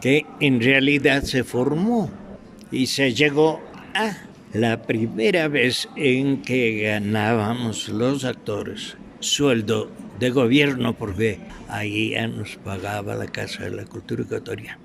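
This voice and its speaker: An older man with an Ecuadorian accent, a veteran radio actor reminiscing in an interview, haltingly searching for his words. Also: medium pitch, gravelly, background noise.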